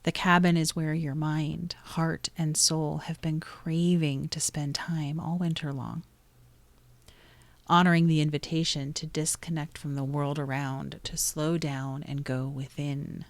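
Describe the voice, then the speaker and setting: Low-pitched woman guiding a meditation. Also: little pitch movement, no room sound. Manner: soothing, gently instructive, pausing between short phrases. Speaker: meditation guide. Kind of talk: guided meditation narration.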